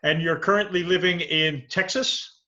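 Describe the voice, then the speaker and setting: Male interview host, crisp bright tone, muffled lo-fi video-call audio, curious and stumbling. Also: high-pitched, fairly even intonation, moderate pace. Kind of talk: conversational interview questions.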